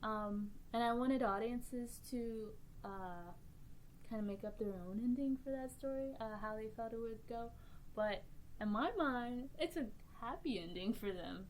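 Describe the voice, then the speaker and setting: A woman's voice: on the high side, unhurried, a little hiss or hum, poor audio. A woman who writes for a podcast, talking earnestly in a casual round table, laughing easily and using many fillers.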